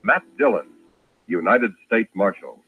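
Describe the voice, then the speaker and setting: A man's voice: fairly low pitch, big pitch swings, a little slow, narrow, tinny audio. A man announcing a classic radio western in a dramatic, emphatic, declamatory 1950s narration style. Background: loud dramatic music.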